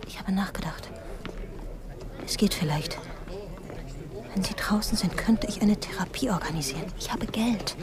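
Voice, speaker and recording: An actress playing a devoted, anxious and defiant psychologist in a radio drama, urgent and often breathy. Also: female voice, medium pitch, moderate pace, long pauses, whispered, lightly acted. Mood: secretive, anxious.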